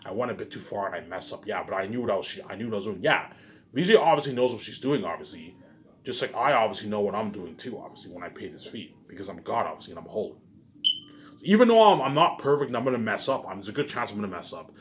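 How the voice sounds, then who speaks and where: A man talking in a casual, rambling monologue, halting and repeating himself, often swearing and tagging statements with "obviously". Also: fairly low pitch, lively intonation. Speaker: solo video host. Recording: noticeable background noise.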